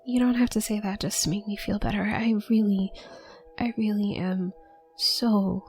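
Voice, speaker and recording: A woman playing a shy, anxious, apologetic young witch student in an audio roleplay, with a soft, breathy voice and a heavy nervous stutter. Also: medium pitch, steady tone, normal speed, faint music behind, close to an everyday voice. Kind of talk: intimate audio roleplay acting.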